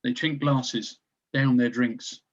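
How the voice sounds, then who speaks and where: A man's voice: mid-range voice, steady tone, slow pace, highs cut off. A man reading stage directions as scripted narration for a screenplay table read.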